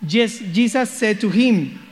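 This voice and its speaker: Spanish-accented guy preaching, high voice, playful with the crowd, big echoey church hall. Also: animated, slow pace. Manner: playful, engages the congregation with questions. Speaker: guest preacher. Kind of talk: sermon with scripture reading.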